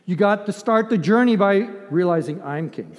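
Older male preacher, high-pitched voice, emphatic conversational sermon, long hall reverb. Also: animated, normal speed. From grew up in Ethiopia. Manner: emphatic, conversational, with dramatized asides and rhetorical questions.

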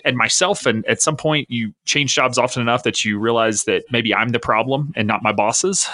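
A man's voice: medium pitch, pushed and bright, no room sound. A man in his thirties from the American South with a faint Southern accent, a guest telling his career story energetically and laughing easily. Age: 30s. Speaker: guest, company founder. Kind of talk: interview storytelling.

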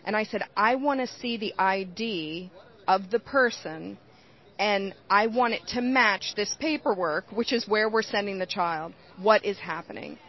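A woman talks through her account in an earnest, explanatory way, like someone used to teaching adults. Her pitch sits in a mid range. The sound is a little dull and lo-fi. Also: measured pace. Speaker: interview guest, whistleblower. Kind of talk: earnest interview answers.

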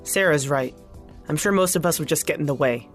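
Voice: medium pitch, fairly quick, a bit bright, soft background music, natural voice. An actor playing a polite, self-effacing member of a group, courteous and deferential, in a fiction podcast. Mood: resigned.

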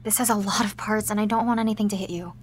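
A woman's voice: mid-range voice, fairly even intonation, very fast, slight background noise, natural voice. A young woman playing an anxious, awkward teenage girl with superpowers in an audio drama, hesitant and wry. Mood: cautious. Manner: hesitant, self-deprecating, wry. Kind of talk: naturalistic audio drama acting.

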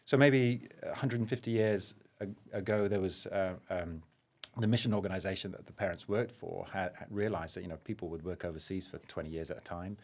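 A middle-aged man with a slight London accent, a surgeon guest telling stories of his childhood in a relaxed, self-deprecating way. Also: deep voice, brisk. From Zaire and England. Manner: anecdotal, self-deprecating, with frequent ums. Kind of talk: relaxed conversational storytelling.

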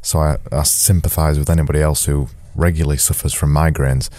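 A man with a hoarse, sore-throated voice, hosting a solo podcast in a candid, rambling way. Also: very deep voice, little pitch movement, brisk, mellow, no echo, clean studio sound. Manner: rambling, candid, self-deprecating. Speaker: podcast host. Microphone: boom-mounted studio mic.

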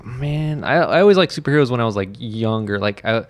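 A man's voice: medium pitch, expressive, fast, no room sound. A man in his twenties, a comic shop owner, talking in a casual, rambling interview with many fillers. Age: 20s.

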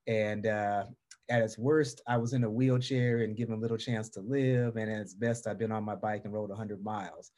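A man's voice: fairly low pitch, little pitch movement, moderate pace, darkish tone. A middle-aged man from the US West Coast with a Southern drawl, telling his personal story as an interview guest. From Portland, Oregon, United States. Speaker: podcast guest.

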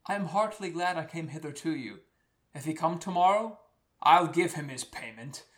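A man's voice: fairly high pitch, lively intonation, normal speed, natural voice. A man playing a proud but respectful professional wrestler in a Shakespearean radio play, speaking in an earnest, formal, declamatory way. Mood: hearty, threatening.

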